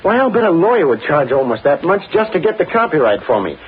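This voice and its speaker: On a tinny old broadcast, an eager young man with a high voice that leaps around in pitch. He sounds boyish, naive and earnest, a hopeful dreamer in a vintage radio drama. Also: sing-song, fast, slight background noise. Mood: earnest, determined.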